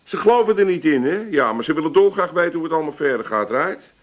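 An actor playing a confident, scheming hustler who coaxes and persuades in a comic radio play. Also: male voice, a little high, lively intonation, fast talker, lightly acted. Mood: coaxing, confident. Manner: coaxing, self-assured, tag questions.

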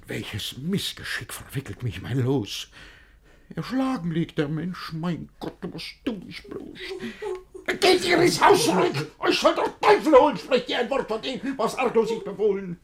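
An actor playing a jealous, scheming middle-aged gentleman in a rhymed-verse radio play, declaiming and swinging between muttering and outbursts. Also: high voice, sing-song, moderate pace, a little hiss or hum. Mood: furious, alarmed.

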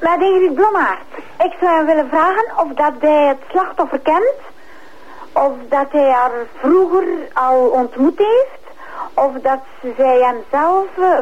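Female caller, Flemish accent, high voice, rambling, insistent questions, muffled phone line. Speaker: listener calling in. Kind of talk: spontaneous questioning on radio. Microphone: telephone. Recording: dull telephone line on radio.

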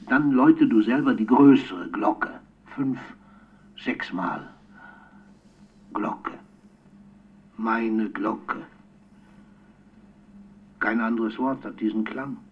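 Through a thin, tinny tape playback comes an elderly poet, husky and unhurried. He speaks a lyrical, melancholic radio-play letter, lingering tenderly over his words and leaving pauses. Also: on the low side. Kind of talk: radio drama monologue as spoken letter.

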